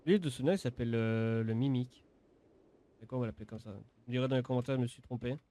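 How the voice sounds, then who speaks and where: A man's voice: mid-range voice, fast, mellow. A man giving casual, informal gameplay commentary as a video creator, often repeating and restarting his phrases.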